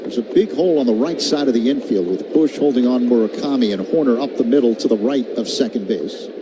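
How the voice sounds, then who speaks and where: A man's voice: medium pitch, a little fast. An American man calling a live baseball game on the radio as play-by-play announcer, with ballpark ambience behind him. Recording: outdoor ballpark broadcast with clear ambient noise. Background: outdoor stadium ambience.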